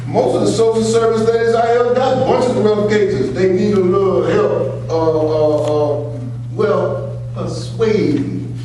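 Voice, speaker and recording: A man's voice: high voice, steady tone, unhurried, mellow. A man playing a folksy, casually callous Southern hired enforcer in a staged play reading. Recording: echoey hall, distant mic, faint noise.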